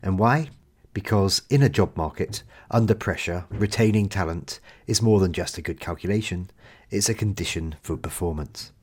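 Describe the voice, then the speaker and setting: A man's voice: low-pitched, normal speed, husky, dry recording. Chatty male podcast host. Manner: chatty, jokey, stumbles on repeated words. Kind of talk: podcast interview hosting.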